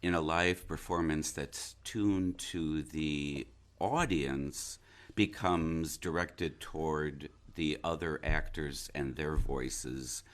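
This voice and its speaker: A man speaking reflectively as an interview guest, thoughtful and halting as he searches for his words. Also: a bass voice, steady tone. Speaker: interview guest, stage actor.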